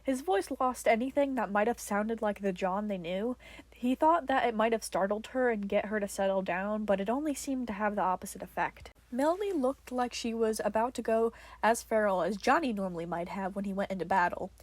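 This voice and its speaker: A woman's voice: mid-range voice, a little fast, no echo. A woman reading fiction aloud as a podfic narrator, in a dramatic storytelling style.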